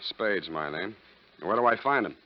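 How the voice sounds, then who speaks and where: Cocky private eye, deadpan, mock-pompous wordplay, vintage radio drama, muffled old recording. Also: male voice, deep voice, normal speed. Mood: dry, smooth. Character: wisecracking, wordy, cocky male private detective. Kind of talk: 1940s radio detective acting.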